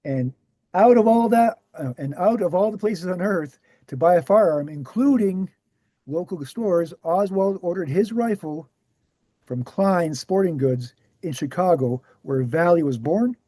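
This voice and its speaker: A man reading the scripted part of a measured author who answers questions at a talk, deliberate and explanatory. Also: high voice, lively intonation, mellow, no echo. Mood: grave, explanatory. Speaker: actor in a screenplay table read. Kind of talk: scripted dialogue read aloud.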